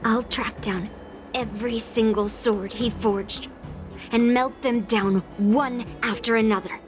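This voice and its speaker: A woman's voice: fairly high pitch, fairly even intonation, a little slow, close to an everyday voice. An actress voicing a fierce, stubborn young swordswoman in a dubbed game cutscene, speaking bluntly and with determination. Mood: determined. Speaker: game character. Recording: game audio over loud music.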